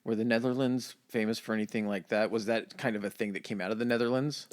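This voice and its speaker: A man's voice: fairly low pitch, fairly even intonation, fast. A man co-hosting a casual podcast, upbeat, talking straight to the listeners and dropping jokey asides. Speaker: podcast co-host.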